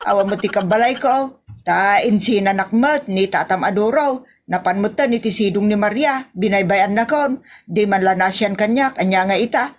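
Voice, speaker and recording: A woman's voice: mid-range voice, natural voice. Wanted: woman to play older Ilocano-accented village wife, chatty and aggrieved, complaining at length in radio-style comedy. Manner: rambling, plaintive, drawn-out complaining. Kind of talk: Ilocano audio comedy drama.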